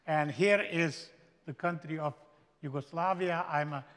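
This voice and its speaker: A man with a Slovenian accent, a guest speaker giving a slow, emphatic sermon in a seminary chapel. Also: a little high, fairly bright tone. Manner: slow, emphatic preaching with stretched-out phrases. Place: large chapel. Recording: echoey hall with long reverb.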